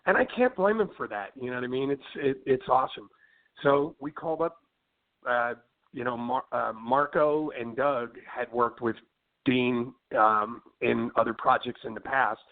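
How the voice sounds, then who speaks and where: A man's voice: medium pitch, moderate pace. A man from Philadelphia with a Philly accent, a rock singer chatting casually in an interview, rambling with lots of fillers and restarts. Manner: rambling, many fillers and restarts, says "you know what I mean". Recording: tinny phone line.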